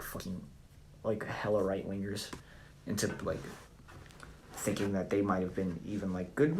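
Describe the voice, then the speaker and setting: A young man with a Southern American accent, chatting loosely on a phone livestream from home. His low voice has a gravelly, fry-heavy texture. He rambles bluntly and swears freely while reacting to comments. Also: slight background noise. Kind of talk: casual live-stream chat reading comments.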